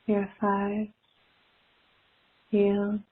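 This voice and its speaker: A woman leading a spiritual guided meditation in a soothing, gentle manner with long pauses, recorded from a live call. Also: mid-range voice, completely flat delivery, slightly rough. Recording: muffled phone-like live call audio.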